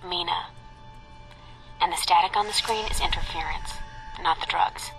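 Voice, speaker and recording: A woman playing a cool, guarded female spy operative in a video game, with a crisp, dry delivery. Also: fairly low pitch, fairly even intonation, normal speed, over music, natural voice, rough recording. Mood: cool, matter-of-fact.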